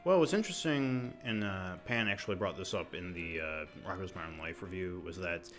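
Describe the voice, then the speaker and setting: A man's voice: low voice, fairly quick, over music. A man co-hosting a podcast in casual chat, hesitant and full of fillers. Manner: lots of fillers and mm-hmms, hesitant. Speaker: podcast co-host.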